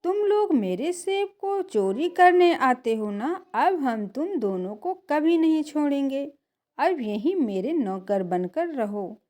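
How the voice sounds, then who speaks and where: A woman puts on a menacing male eagle for a children's cartoon. The voice is high and swooping, full of threats and gloating, and it takes its time over each line. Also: very animated, slow, mellow, heavily acted. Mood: threatening. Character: menacing male eagle guarding his apple orchard.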